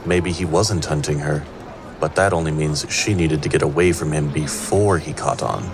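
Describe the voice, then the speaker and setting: A man's voice: extremely low pitch, fairly even intonation, normal speed, background noise. A man performing scripted narration of a fantasy tale as an all-knowing storyteller. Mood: suspenseful. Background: prominent music.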